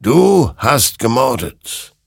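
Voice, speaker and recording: A man voicing an all-knowing rider made of burning souls in a dark story, slow, commanding and portentous. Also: medium pitch, sing-song, gravelly, husky, dry recording, clean studio sound, voice pushed up, full character voice. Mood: accusing.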